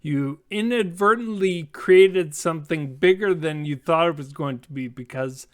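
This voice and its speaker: A middle-aged man with a notably high voice for a male speaker. He hosts a casual tabletop gaming discussion, laying out questions and anecdotes in a measured way. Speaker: host, game master. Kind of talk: casual roundtable chat.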